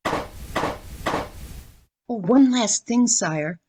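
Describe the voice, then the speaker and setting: A woman's voice: a little high, expressive, drawn-out words, darkish tone, husky, heavily acted. Woman playing an eager, ingratiating royal petitioner. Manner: ingratiating, formal. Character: polite, eager flatterer of the fairy-tale king. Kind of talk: comic community radio theater.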